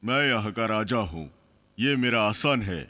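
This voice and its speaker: A man's voice: on the low side, lively intonation, a little slow, sharp tone, big-room sound. A man voicing a solemn, commanding king in a cartoon dub. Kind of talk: Hindi cartoon dub acting.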